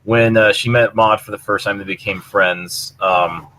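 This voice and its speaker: A man's voice: on the low side, steady tone, measured pace, pushed and bright. A man co-hosting a casual fan discussion of a cartoon, acting out quotes as he retells scenes and swearing casually. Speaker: podcast co-host.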